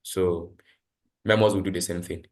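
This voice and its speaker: A man's voice: deep voice, fairly even intonation, normal speed, darkish tone. A man facilitating a live online training, explaining steps instructively and repeating his points. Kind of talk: live tutorial with screen demonstration. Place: online video call, voice over screen share.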